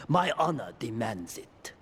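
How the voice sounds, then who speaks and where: An actor playing a grave, formal, honor-bound gangster boss in a video game, husky and measured. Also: male voice, medium pitch, very animated, fairly bright tone, slight background noise. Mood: solemn. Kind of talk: video game cutscene acting.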